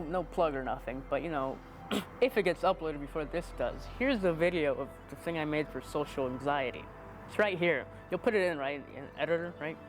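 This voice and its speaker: A man of twenty-one chatting casually in a rambling, self-deprecating, playfully joking way. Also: on the high side. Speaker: interviewee, amateur videographer. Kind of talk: casual chat.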